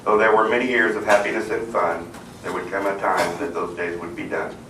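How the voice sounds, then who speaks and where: A man's voice: deep voice, fairly even intonation, brisk. A man reading humorous rhyming verse aloud to a family gathering. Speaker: family member reading a tribute poem. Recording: echoey, distant, loud crowd noise.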